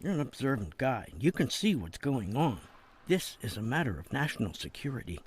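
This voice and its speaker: An actor as a wry, persuasive jailed politician, lively delivery. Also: male voice, medium pitch, brisk, a little hiss or hum, lightly acted. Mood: persuasive, conspiratorial. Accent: American. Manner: wry, coaxing, avuncular, persuasive. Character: shrewd, smooth-talking jailed politician, older man, persuasive. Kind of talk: dramatic game cutscene acting.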